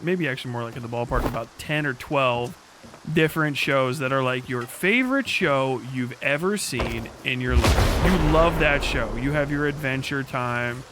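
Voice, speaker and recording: A man's voice: mid-range voice, noisy background. A man chatting informally as a livestream host, sweary and talking straight to his viewers. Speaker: livestream host reacting to videos. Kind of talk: casual chat commentary.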